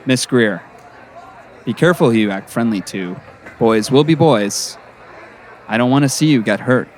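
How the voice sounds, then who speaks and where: Man playing a measured, admonishing school principal. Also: medium pitch, expressive, darkish tone, natural voice. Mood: stern, cautioning. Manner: admonishing, formal. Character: measured middle-aged male school principal, paternal, cautioning. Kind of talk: scripted audio drama acting.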